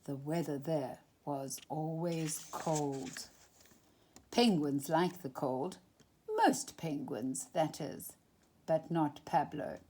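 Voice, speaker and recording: A woman reading a children's picture book aloud expressively, with dramatic emphasis and drawn-out phrases. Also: low voice, steady tone, mellow, slight background noise. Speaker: storytime reader.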